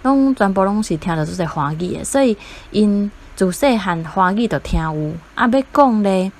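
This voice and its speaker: A woman's voice: mid-range voice, expressive, moderate pace, darkish tone. A woman with a Taiwanese accent, sharing her experience as a parent in a casual interview.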